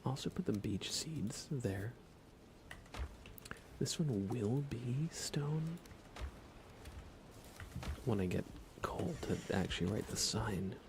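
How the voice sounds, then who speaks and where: A man softly thinking aloud in an unhurried, whispered ASMR style as he narrates a video game livestream. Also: medium pitch, lively intonation, noisy background. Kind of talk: ASMR gaming commentary, whispered and soft-spoken.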